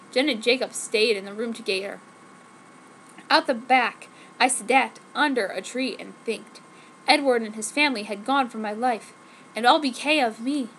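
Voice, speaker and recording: Woman, very high voice, theatrical mock-dramatic story narration, faint background noise. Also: fairly bright tone, poor audio. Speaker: fanfiction reader.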